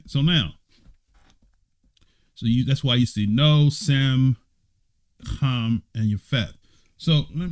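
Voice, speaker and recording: Bible-study elder who keeps asking "right?". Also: male voice, mid-range voice, darkish tone. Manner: reads scripture aloud and explains it. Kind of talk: informal Bible study sermon. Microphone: streamed online talk.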